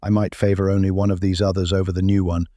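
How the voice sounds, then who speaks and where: A man plays a Victorian gentleman giving measured opinions in an audio drama. His voice is very low and mellow. His manner is understated, with little rise or fall. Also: little pitch movement, no room sound, studio quality. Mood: considering. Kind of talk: period audio drama acting.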